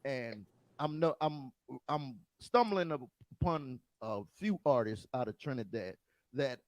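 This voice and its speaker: A man's voice: medium pitch, expressive, unhurried, no room sound. An American man who hosts and DJs, telling stories in a casual interview with stumbling restarts. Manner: storytelling, stumbling restarts, laughs often. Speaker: DJ and podcast host.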